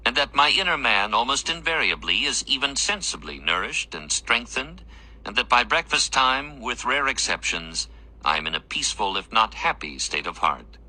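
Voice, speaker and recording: A man with a low voice reads a devotional text aloud. His tone is very bright and forceful, with lively movement in pitch. The delivery is formal, earnest and measured, as a narrator of written prose. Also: low-quality recording.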